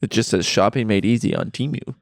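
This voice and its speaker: A man's voice: on the low side, a little fast, creaky, no echo, clean studio sound. A man co-hosting a podcast in casual chat, wry and teasing, recorded in a friend's basement. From Britain. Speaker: podcast co-host.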